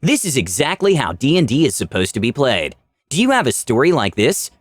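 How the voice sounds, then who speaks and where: A man's voice: mid-range voice, huge pitch swings, brisk, no echo. A man narrating a scripted fantasy adventure story in a dramatic, first-person storytelling style. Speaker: channel narrator.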